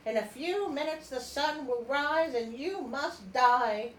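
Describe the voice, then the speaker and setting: Woman playing an insistent, high-voiced young mermaid sister. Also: expressive, slow, echoey room, a little hiss or hum. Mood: urgent. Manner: slangy, insistent. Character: urgent mermaid sisters, slangy, grimly bargaining.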